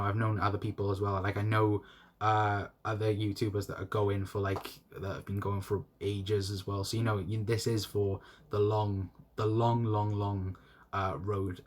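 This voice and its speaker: Husky low South Welsh man. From South Wales. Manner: rambling, repeats himself, friendly to chatters. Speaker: fan channel host. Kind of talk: solo livestream, reading chat comments.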